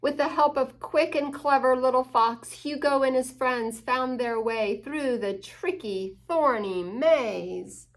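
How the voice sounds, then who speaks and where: A woman reads a children's storybook aloud in a fairly high voice. She takes her time, very slowly and deliberately, with expressive phrasing meant for young listeners. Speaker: storytime reader. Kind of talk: children's picture-book read-aloud with asides to young listeners.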